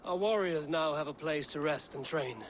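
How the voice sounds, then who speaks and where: An actor playing a stern, commanding Norse clan leader in a video game, speaking with blunt control. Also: male voice, on the high side, normal speed, a little hiss or hum, lightly acted. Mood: calm authority.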